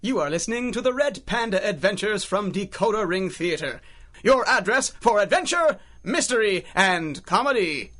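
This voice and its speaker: High-voiced male radio serial announcer over music. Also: lively intonation, normal speed.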